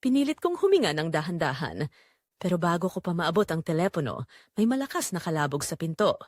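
A woman's voice: lowish voice, very animated, brisk, a little mellow, no echo. A woman narrates a first-person thriller in a suspenseful, emotive style. Her intonation moves widely, and the recording is dry with no room sound. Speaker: audio drama narrator.

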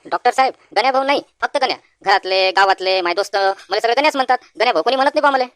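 Woman voicing a fast-talking, anxious Vidarbha villager man. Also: on the high side, expressive, a bit bright, dry recording, studio quality. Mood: naive, insistent. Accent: rural Vidarbha Marathi. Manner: rambling, naive, with repeated hesitant fillers. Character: anxious rustic village man, naive worrier visiting a doctor. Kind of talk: comic cartoon dialogue.

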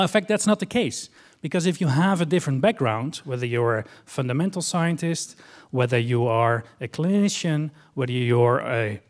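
Dutch guy giving a conference talk on stage, middle-aged, normal pitch, lots of uhs, handheld mic. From Netherlands. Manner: measured, explanatory, frequent "uh" fillers. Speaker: conference speaker. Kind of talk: conference presentation. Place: large conference hall stage.

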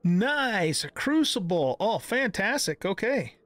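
A man's voice: high-pitched, very animated, takes it slowly, a bit bright. A middle-aged man giving casual let's-play commentary, thinking aloud in a folksy, exclaiming way. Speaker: gaming channel host. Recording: clear background noise with clicks.

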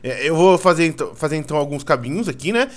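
A man's voice: fairly high pitch, lively intonation, fast. A man chatting casually and teasing friends while playing a game together. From Brazil. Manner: teasing, exclaiming, explains things insistently. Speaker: gamer in a group session. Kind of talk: casual gaming chat with friends.

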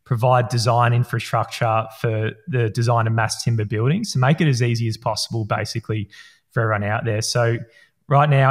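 A man in his thirties, a co-founder guest, energetically explaining his company's software on a video call. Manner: energetic, pitching his product. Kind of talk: business explanation. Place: home office with bookshelves. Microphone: podcast mic on arm, video call.

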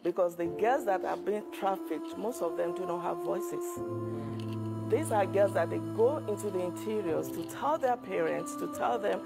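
A woman's voice: on the low side, normal speed, vocal fry. A woman speaking earnestly as a guest in a TV panel discussion. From Nigeria. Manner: earnest, explanatory. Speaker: TV talk show guest. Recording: TV clip with loud music bed. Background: loud music.

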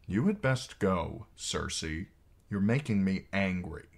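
A man's voice: low-pitched, animated, takes it slowly, vocal fry, exaggerated voice. A man voicing a bitter, cynical knight commander in an audiobook, speaking in a dry, sardonic, commanding way. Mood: bitter, weary.

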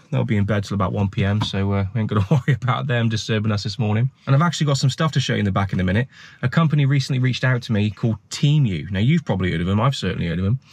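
A man's voice: mid-range voice, a little mellow. A man in his thirties with an English accent, chatting cheerfully to camera as a van life vlogger inside a parked camper van. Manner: chatty, cheeky, upbeat. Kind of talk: casual vlog chat to camera. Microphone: action camera on a mount. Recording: music playing underneath.

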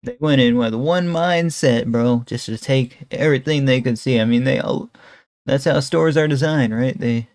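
A man's voice: medium pitch, moderate pace, a little mellow. A young man streaming a casual reaction, rambling with lots of fillers like "bro" and "guys", talking into a headset at home. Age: young adult. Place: indoor room at home. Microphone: headset, webcam framing.

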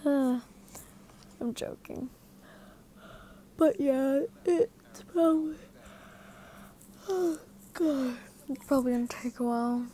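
A young woman narrates her drawing video at a slow, dragging pace, sounding tired and trailing off into fillers. Her voice sits a little high, close on the mic, with a faint hiss in the background. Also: mellow. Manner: rambling, filler-heavy, often apologizing. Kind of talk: casual voice-over narration while drawing. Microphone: mic right next to her mouth.

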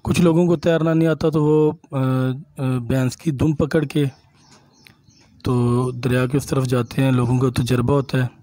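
A man, a village vlogger from Punjab, calmly explaining sights to viewers while he walks outdoors and films on his phone. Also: mid-range voice, a little slow, no echo. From Punjab, Pakistan. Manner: calm, explanatory, addresses viewers directly. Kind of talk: walking tour narration.

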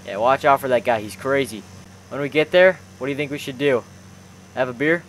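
A man's voice: mid-range voice, fairly quick, fairly bright tone, slight background noise, low-quality recording. A young man commentating on gameplay casually with friends, exclamatory and full of slang like bro and dude. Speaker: gaming video host.

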